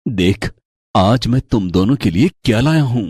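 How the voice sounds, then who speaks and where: A man's voice: medium pitch, animated, moderate pace, darkish tone, husky, no room sound, studio quality. A man acting a tender, poor father. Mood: tender, caring. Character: poor, loving father, a troubled hotel waiter. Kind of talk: Hindi moral story voice acting.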